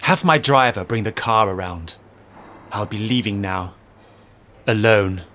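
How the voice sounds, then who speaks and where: A man's voice: fairly low pitch, measured pace, a bit bright. A man playing a bitter, brooding, wealthy fallen superhero with a sneering, curt manner in an audio drama. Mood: bitter anger.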